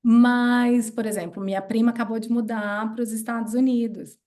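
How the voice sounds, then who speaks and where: A Brazilian woman in her thirties with a Brazilian Portuguese accent, a guest chatting casually and telling stories in an animated way. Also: normal speed. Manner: chatty and animated, playful jumps in pitch when retelling. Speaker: interview guest. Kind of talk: casual storytelling chat.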